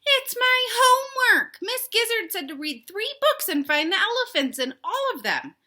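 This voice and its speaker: A woman voicing an excitable, mischievous little girl chicken in a children's picture book read-aloud, eager and full of exclamation. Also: extremely high pitch, moderate pace, voice pushed up, heavily acted. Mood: insistent.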